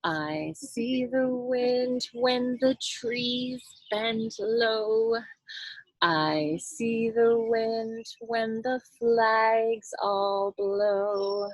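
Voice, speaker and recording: A woman's voice: a little high, very slow, no room sound, low-quality recording. A woman leading a children's sign language storytime, demonstrating and explaining as she goes. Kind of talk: sign language storytime lesson with singing and read-aloud.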